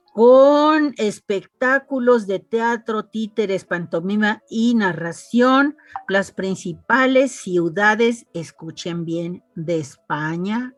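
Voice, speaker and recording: A woman's voice: mid-range voice, dry recording. A woman hosting an online interview, chatty and reading a guest's résumé aloud with asides. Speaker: interviewer and host. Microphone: video call.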